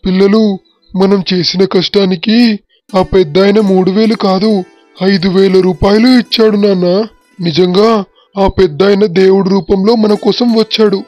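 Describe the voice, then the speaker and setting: A man's voice: high voice, steady tone, normal speed, a little mellow, dry recording, natural voice. A man playing a humble, grateful poor village husband and father in a moral story cartoon. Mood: happy, grateful. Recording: dull, muffled track with music. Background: background music.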